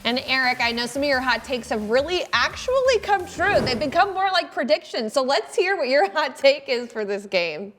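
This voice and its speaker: A woman's voice: sits high, animated, normal speed, few pauses, a bit bright, faint music behind. An American woman hosting a casual sports discussion show. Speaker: show host.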